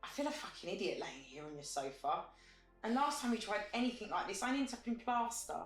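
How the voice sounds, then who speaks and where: A woman's voice: medium pitch, animated, fairly quick, faint music behind, lightly acted. A woman playing a sardonic, exasperated but loyal friend in a naturalistic audio drama, with a dry manner. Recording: roomy, echoing sound.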